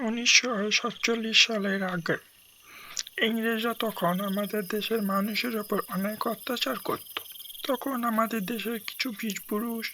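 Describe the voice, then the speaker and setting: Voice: mid-range voice, steady tone, moderate pace, background noise, natural voice. A performer playing a solemn, instructive adult speaking to children in a village cartoon. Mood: explaining. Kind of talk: children's cartoon voice acting, speech-like delivery.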